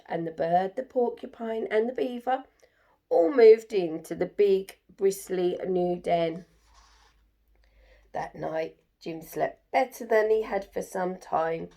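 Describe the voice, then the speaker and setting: Slow-paced woman reading a children's story. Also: on the low side, creaky, darkish tone.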